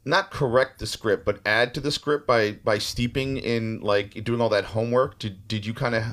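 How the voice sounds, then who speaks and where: A man's voice: medium pitch, steady tone, normal speed, fairly bright tone. A man interviewing someone in a casual, conversational way, attentive and affirming as he discusses the work.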